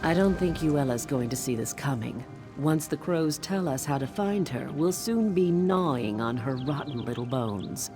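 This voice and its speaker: A woman playing an earnest, determined forest witch ally in a fantasy video game, with a measured delivery. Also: low-pitched, expressive, over music. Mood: confident. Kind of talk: video game character acting.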